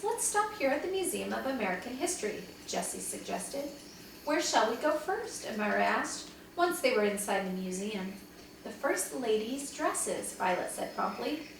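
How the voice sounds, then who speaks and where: A woman reading a children's story aloud like a teacher to young pupils, expressively acting out the dialogue. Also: a little high. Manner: animated, dramatizes dialogue for young listeners. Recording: very echoey room, distant mic, faint noise.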